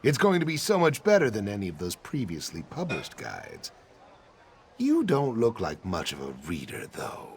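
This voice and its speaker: A condescending scholar from a fantasy video game. His low voice swings widely as he boasts, over faint background noise. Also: wildly swooping pitch, normal speed. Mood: smug. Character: dismissive male scholar mage writing guidebooks.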